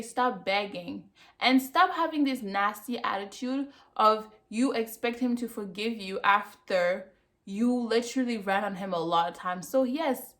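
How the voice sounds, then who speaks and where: A woman from Cameroon, giving a casual review of a TV show on her channel and stressing her points by saying them twice. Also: a little high. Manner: emphatic, repeats herself for effect. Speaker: vlogger.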